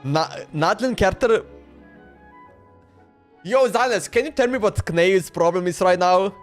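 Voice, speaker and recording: Give me a young guy streamer with a high voice, rambling in slang and stammering over words, faint music behind. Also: a little slow. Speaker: livestreamer playing a game. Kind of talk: casual livestream chat.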